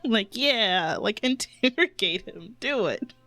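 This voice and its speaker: A woman streamer giving casual, expressive, giggly reaction commentary on a game, close on the microphone. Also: mid-range voice, slow, soft background music.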